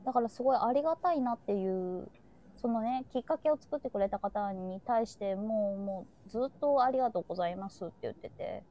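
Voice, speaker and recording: A woman's voice: medium pitch, a little fast, muffled recording. A woman hosting a live streamed talk, chatting casually and reflectively and sharing her feelings openly.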